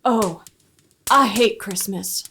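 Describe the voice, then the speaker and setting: A woman's voice: mid-range voice, slow pace, pushed and bright, slight background noise. A woman playing a young orphaned runaway boy, sulky and hurt, in an old-time Western radio play.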